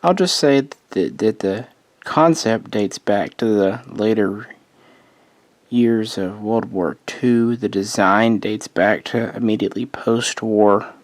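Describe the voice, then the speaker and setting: A man's voice: fairly low pitch, takes it slowly, dry recording. A man losing his voice, casually narrating a history explainer as host, rambling and correcting himself with offhand asides.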